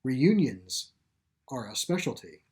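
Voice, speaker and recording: A man reading a story plays a calm, gentle caretaker of an animal sanctuary, explaining things with assurance. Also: mid-range voice, takes it slowly. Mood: gently persuasive. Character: calm, reassuring pipe-smoking male caretaker of animal sanctuary. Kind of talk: storybook character dialogue.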